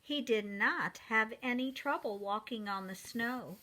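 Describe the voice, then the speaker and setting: A woman reading a picture book aloud to young children in an expressive, deliberate manner, speaking directly to them. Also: on the high side.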